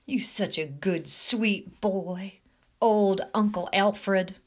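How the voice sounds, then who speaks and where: Lady doing a cocky teen guy bully from a storybook, mocking and sneering, drags the words out slow. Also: medium pitch. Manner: taunting, drawn-out jeering. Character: muscular swaggering teenage male bully, sneering and mocking.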